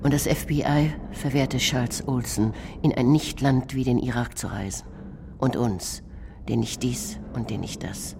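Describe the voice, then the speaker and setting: A woman performing poetry in a radio drama in a hushed manner, over music. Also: rumbling low, steady tone, measured pace, gravelly, noisy background. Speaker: performer reciting German translation. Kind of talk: poetic radio drama recital. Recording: radio production with loud music underneath.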